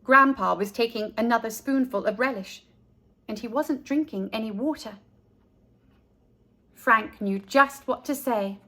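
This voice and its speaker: A woman's voice: on the high side, a little slow, pauses often, pushed and bright. A woman narrating a children's story, reading aloud expressively as a storytime narrator.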